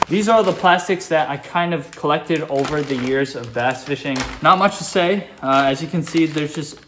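A man's voice: fairly high pitch, moderate pace, background noise. Chatty teen guy in an echoey room. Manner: relaxed, chatty, filler-heavy. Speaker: fishing channel host. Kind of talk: casual show-and-tell vlog. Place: small indoor gear room.

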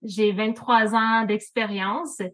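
A woman's voice: on the high side, steady tone, slow pace, a bit bright. Franco-Ontarian woman presenting on a video call. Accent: Franco-Ontarian French. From Northern Ontario, Canada. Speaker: student services manager. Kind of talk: presenting to a committee.

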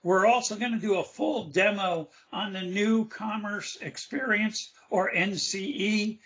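Male webinar presenter on a video call, slightly hoarse, loose and chatty with fillers, high bright voice. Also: unhurried. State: losing his voice, hoarse. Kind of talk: casual screen-share demo.

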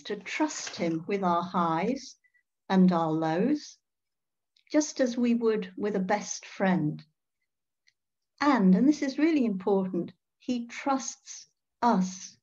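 An older woman giving a reflective sermon in a measured way, pausing often and posing questions to her listeners. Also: medium pitch, darkish tone. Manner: measured, with pauses and rhetorical questions. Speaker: priest preaching. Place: indoor room at home. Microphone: computer mic on a video call. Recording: dull, muffled video-call audio.

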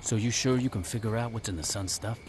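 A man's voice: on the low side, quick pace, background noise, natural voice. Game lead male actor: sardonic crime boss, blunt street talker, impatient, slight background ambience. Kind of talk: video game cutscene acting.

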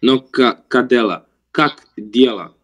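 Ein junger Mann, der gemütlich und zögernd in einem Videoanruf erzählt. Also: male voice, mid-range voice, unhurried, a bit bright. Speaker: video chat stranger. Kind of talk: casual chat.

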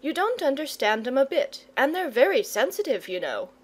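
A self-assured young country boy from a storybook, played by a woman. He is calm and bookish. His high voice stays quiet and matter-of-fact, as if he knows best. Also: moderate pace. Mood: calm confidence.

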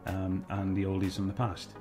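A man's voice: low-pitched, completely flat delivery, normal speed, music in the background. A middle-aged man with a Welsh accent, hosting a casual review chat from his living room sofa, rambling and repeating himself with lots of fillers. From Wales. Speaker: host.

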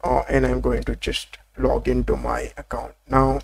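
A man presenting a screen-recorded software tutorial, talking casually with many fillers and small apologies. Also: mid-range voice, level tone, moderate pace, gravelly, husky.

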